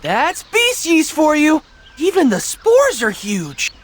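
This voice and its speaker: A woman voicing a small, excitable young cookie character in a game cutscene, dramatic and exclamatory. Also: high voice, slow pace, slight background noise, full character voice. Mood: amazed. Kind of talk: animated game cutscene voice acting. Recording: music behind the voice.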